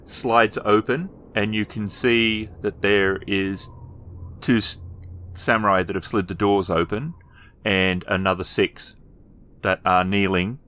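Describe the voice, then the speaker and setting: A man narrating a tabletop roleplaying game as storyteller, describing scenes in improvised fragments and pausing to find words. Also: on the low side, steady tone, pushed and bright.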